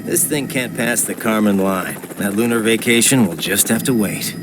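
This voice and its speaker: An actor playing a cool, dry-witted action hero in a video game, with matter-of-fact delivery. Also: male voice, fairly low pitch, normal speed, noisy background, natural voice. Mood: relaxed dry. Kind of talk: video game cutscene acting.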